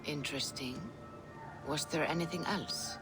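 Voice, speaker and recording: An actress playing a grave, probing Norse seer in a video game cutscene, measured and reverent in manner. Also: female voice, sits low. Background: music.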